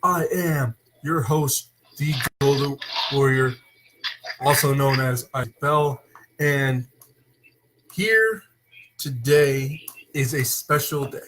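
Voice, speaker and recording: A young man hosting a casual wrestling talk show, opening with loud hype and then rambling with fillers. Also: medium pitch, slow pace, long pauses, slight background noise, poor audio. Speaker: host. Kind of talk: casual wrestling podcast chat.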